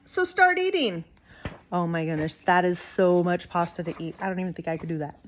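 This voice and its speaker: A woman's voice: fairly low pitch, flat delivery, quick pace, a little hiss or hum. A woman reading a storybook aloud expressively to young listeners, with casual asides like a teacher.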